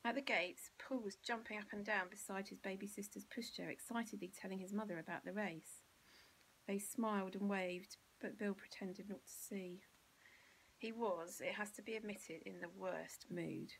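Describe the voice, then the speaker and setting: A woman's voice: mid-range voice, fairly even intonation, normal speed, creaky, a little hiss or hum, low-quality recording. A woman with an English accent reading a children's storybook expressively, as a teacher would to pupils.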